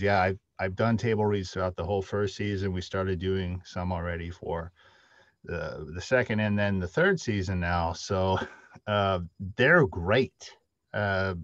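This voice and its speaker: A man's voice: lowish voice, no room sound. A middle-aged man talking casually as a panelist, thinking aloud as he explains. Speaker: panelist, audio drama writer. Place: indoor room, on a video call. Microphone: video call microphone. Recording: dry, slightly dull video call audio.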